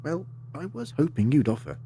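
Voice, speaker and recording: A man's voice: medium pitch, animated, normal speed, mellow, a little hiss or hum, lightly acted. A man acting in an audio drama as a polite, assured time-travelling adventurer, articulate and theatrical with a wry streak.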